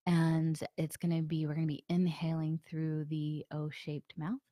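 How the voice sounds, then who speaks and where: A woman guiding a meditation group with a slight hoarseness from a sore throat, talking softly with many fillers and sometimes dropping to a breathy near-whisper. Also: low-pitched, a little slow, no room sound, studio quality. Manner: soft, relaxed, many fillers. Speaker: meditation guide. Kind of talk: guided meditation with a live group.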